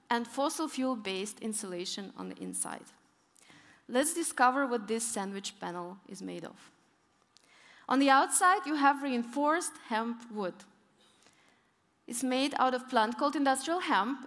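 Young woman giving a keynote in a reverberant hall. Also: fairly high pitch. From northern Europe, Arctic region. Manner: storytelling, lively emphasis on key points. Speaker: keynote speaker. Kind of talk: conference keynote talk. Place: large conference hall on stage.

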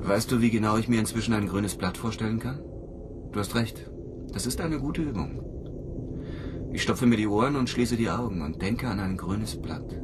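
A man plays a brooding astronaut alone in space in a German radio drama. His voice sits low, thoughtful and halting, troubled underneath. Clear ambient noise surrounds him. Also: natural voice. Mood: dreamy. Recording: clearly noisy ambient sound.

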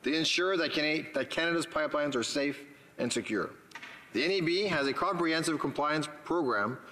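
A man, a parliamentary secretary, reading a prepared government statement in a formal, measured way. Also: medium pitch, pushed and bright. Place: legislative chamber. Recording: long chamber reverb.

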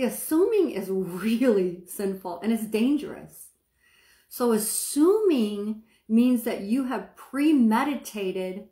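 A middle-aged woman with a soft speaking voice, preaching casually to a phone camera and checking that listeners follow her. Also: a little high, echoey room. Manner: chatty, asks the viewer questions, stretches words for emphasis. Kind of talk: informal sermon to camera. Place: small room indoors, seated.

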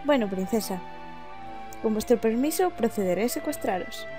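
A woman's voice: fairly high pitch, expressive, moderate pace, music in the background, lightly acted. A woman from voicing a cocky, gallant young male thief from a video game in a breezy, reassuring manner. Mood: assured.

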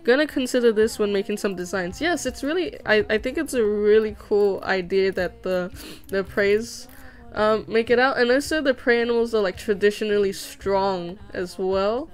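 A woman's voice: medium pitch, moderate pace. A young woman whose first language was Vietnamese, chatting casually and rambling with lots of fillers and restarts while she animates at home. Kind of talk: casual chat. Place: home room.

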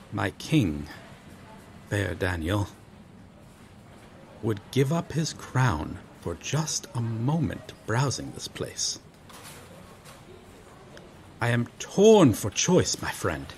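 A man acts out an earnest, noble medieval knight, befuddled but unfailingly courteous. His delivery is theatrical and very animated in pitch, yet unhurried, with archaic formal wording and hesitant pauses. It is an audio roleplay with noticeable background noise. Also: full character voice. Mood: wondering, torn. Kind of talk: ASMR roleplay audio drama, addressing the listener.

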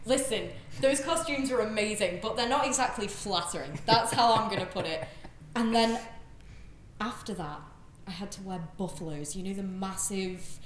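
A young British woman chatting casually as an interview guest, telling stories in an animated, joking way with plenty of "like". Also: medium pitch, normal speed, reverberant, slight background noise. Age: young adult. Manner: animated storytelling, frequent "like". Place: large bright indoor studio room, on a sofa. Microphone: handheld microphone.

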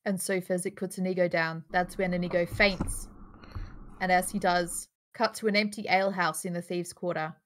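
A woman's voice: fairly low pitch, fairly even intonation, normal speed, a little hiss or hum. A woman reading stage directions as the narrator of a live online table read. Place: home room, online video call.

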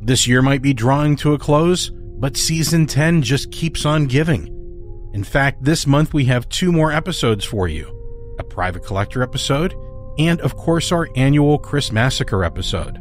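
A man's voice: mid-range voice, expressive, moderate pace, faint music behind. A man hosting a podcast, reading a scripted introduction. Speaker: podcast host.

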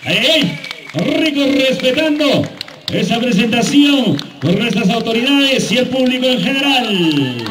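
A man with a Peruvian Andean Spanish accent announcing over a PA at an outdoor festival, rousing the public with drawn-out shouts and repeated words. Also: extremely high pitch, very animated, noisy background. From Huancavelica, Peru. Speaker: announcer at a carnival dance contest. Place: outdoor town plaza. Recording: echoey PA, loud music and crowd. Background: music and crowd.

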